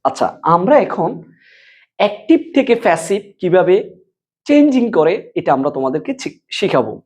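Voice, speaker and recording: Husky, animated woman teaching a lesson. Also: fairly low pitch, normal speed. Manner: repetitive, question-and-answer explaining. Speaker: English grammar teacher. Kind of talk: board lesson addressed to students.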